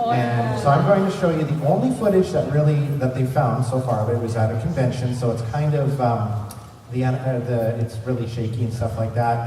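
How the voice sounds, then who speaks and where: A man's voice: medium pitch, dark tone, slight background noise. A man hosting a convention panel, talking casually to an audience of fans. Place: large convention centre panel room. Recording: very echoey hall, distant, crowd.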